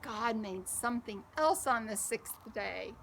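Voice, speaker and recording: Storybook big-cousin girl, voiced by a woman reader: high, slow, gently explaining, playful. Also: gravelly, a little hiss or hum. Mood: patient, explaining. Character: playful, patient older girl cousin telling stories.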